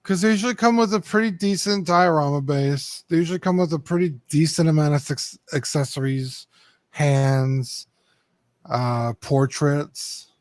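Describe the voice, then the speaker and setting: A man's voice: a little high, moderate pace, dry recording. A young man co-hosting a casual collectibles podcast, rambling with lots of ums and the odd swear. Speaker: co-host.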